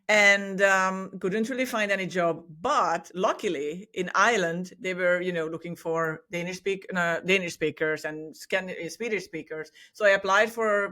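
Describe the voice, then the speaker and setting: A woman's voice: fairly low pitch, normal speed, hardly any pauses, bright, forceful tone. A woman from Hungary, a podcast guest chatting enthusiastically and laughing often. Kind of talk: casual interview chat.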